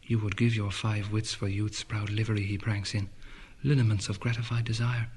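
An actor voicing a brooding young poet's murmured inner monologue, at times sinking to a whisper. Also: male voice, fairly low pitch, flat delivery, normal speed, a little mellow, husky. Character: brooding young male poet, inward and allusive.

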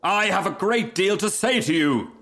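A man's voice: mid-range voice, sing-song, a bit bright. Man voicing a pompous, haughty storybook firework rocket. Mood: indignant, calling out. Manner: pompous, drawn-out grand pronouncements. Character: haughty, self-important male firework rocket, pompous and vain. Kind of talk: storybook character reading.

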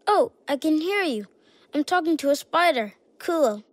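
A woman's voice: high-pitched, lively intonation, moderate pace, no echo. A woman playing a friendly, curious, chatty young child in a children's audio drama, polite and matter-of-fact. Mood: amazed.

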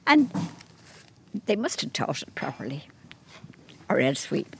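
A woman in her eighties with an Irish accent, speaking in a reflective, wistful and gently teasing way in a documentary interview. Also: sits low, sing-song, unhurried, gravelly, slight background noise. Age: 80s.

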